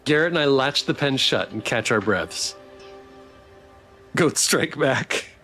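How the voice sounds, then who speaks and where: A man's voice: medium pitch, expressive, takes it slowly, sharp tone, faint music behind. A man streaming a visual novel, reading its text aloud with playful, theatrical commentary and joking asides.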